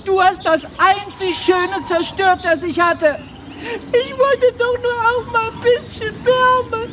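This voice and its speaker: An unhappy farmer's wife in a radio play, with a very high voice and a slow, halting, emotional delivery. A faint noise hangs in the background. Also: female voice, level tone. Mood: bitter.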